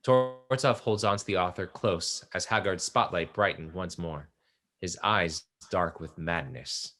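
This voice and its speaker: A man's voice: low-pitched, a little slow, bright, forceful tone, faint music behind. A man narrating the stage directions of a play from a script.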